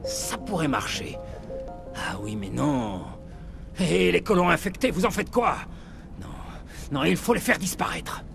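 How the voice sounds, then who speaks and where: A ruthless corporate official in a dramatic video game. His delivery is volatile and cynical, swinging widely in pitch with a sneer, over faint music. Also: expressive, measured pace, creaky, pauses often, exaggerated voice. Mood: wary, calculating. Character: ruthless, agitated male corporate official holding colonists hostage. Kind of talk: dramatic French game dub acting.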